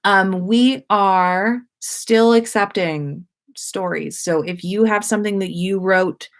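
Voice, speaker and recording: Expressive woman, informal storytelling host. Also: mid-range voice, takes it slowly. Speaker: host of a fan fiction story-time livestream. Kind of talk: expressive story reading and casual livestream chat.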